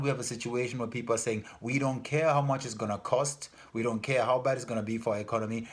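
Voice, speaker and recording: A man who grew up in Denmark, speaking earnestly as a festival organizer in an informal appeal, repeating himself and pausing with fillers. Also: mid-range voice. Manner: earnest, repetitive, loses his thread with fillers.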